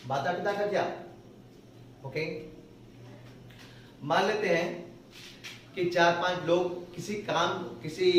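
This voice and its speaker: A male spoken-English teacher with a Hindi accent, who explains slowly and repeats phrases word by word. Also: fairly high pitch, slight background noise. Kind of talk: classroom grammar lesson. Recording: echoey room, voice from far off.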